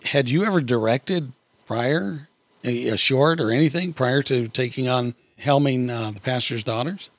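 A man's voice: mid-range voice, unhurried. A man hosting a podcast, interviewing in a conversational style.

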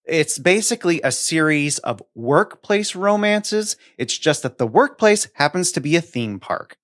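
A man's voice: fairly high pitch, animated, unhurried, a bit bright, dry recording, studio quality. A man co-hosting a casual podcast, recommending things in an emphatic, gushing and slightly wry way. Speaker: podcast co-host. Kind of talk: casual book recommendation chat.

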